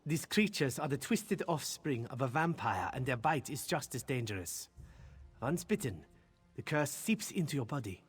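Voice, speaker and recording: Male game vampire: husky voice, guarded and curt, grimly urgent. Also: medium pitch, sing-song, moderate pace, soft background music. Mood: grim warning. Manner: blunt, ominous. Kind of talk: video game dialogue.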